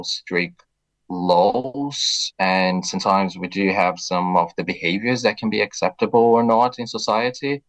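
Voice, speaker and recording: A man from Brazil with a Brazilian accent, a guest on a radio interview, answering in a reflective, measured way with soft fillers. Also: low voice, poor audio.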